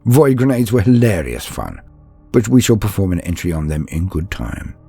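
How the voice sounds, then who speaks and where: A man with a deep voice that swings widely in pitch as he narrates lore and stories. His delivery is formal and theatrical, with a grandiose turn to each phrase. Music plays along behind him. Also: sing-song, normal speed, vocal fry, mellow, husky. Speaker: host of a lore channel and storyteller. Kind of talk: scripted lore narration and dramatic storytelling.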